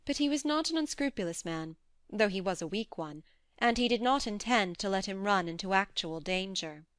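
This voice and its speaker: A woman's voice: mid-range voice, animated, normal speed, fairly bright tone, no echo, clean studio sound. A woman reading scripted audiobook narration as the narrator of a classic story.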